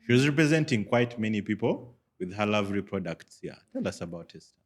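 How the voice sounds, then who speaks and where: A man's voice: on the low side, lively intonation, quick pace. A man hosting a podcast, who asks short questions and adds brief remarks. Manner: brief interjections and prompting questions. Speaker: podcast host. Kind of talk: podcast interview.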